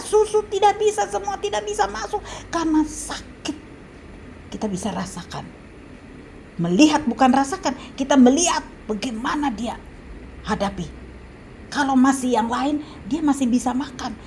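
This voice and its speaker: A woman in her seventies with an Indonesian accent, talking casually about home remedies, pausing often with fillers and restarts. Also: high-pitched, expressive, creaky, a little mellow, a little hiss or hum. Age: 70s. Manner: halting, with frequent fillers and restarts. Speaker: health vlogger. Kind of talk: casual advice talk.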